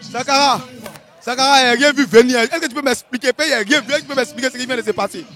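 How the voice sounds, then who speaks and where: An Ivorian man commentates a fight live in a very high-pitched voice. He is colloquial and animated and repeats his phrases as he goes. Some music plays behind him. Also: fast talker. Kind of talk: live sports commentary, casual banter.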